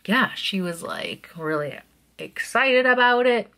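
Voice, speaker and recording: A woman in her thirties chatting excitedly to camera while doing her makeup in a small bedroom, drifting into soft asides. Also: mid-range voice, slow pace, creaky. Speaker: vlogger. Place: small bedroom at home, indoors. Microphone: camera close in front of her.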